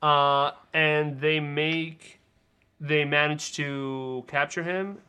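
A man with a fairly high, bright voice talks films casually as a podcast co-host. His sound is crisp and forward, with a smooth, clean tone. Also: steady tone, extremely slow. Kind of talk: casual film discussion.

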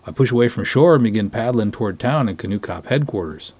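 A man's voice: on the low side, expressive, quick pace, a little mellow, slightly rough, no echo. A man giving a dramatic story reading in a formal, wordy, theatrical way. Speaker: podcast host reading a short story aloud. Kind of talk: dramatic reading of narrated dialogue.

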